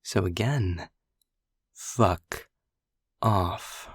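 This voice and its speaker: A man's voice: deep voice, extremely slow, dry recording, studio quality, full character voice. A man voicing a flirty, protective male incubus in an audio roleplay, with a teasing, cocky manner. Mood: protective, threatening. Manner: teasing, seductive, breathy.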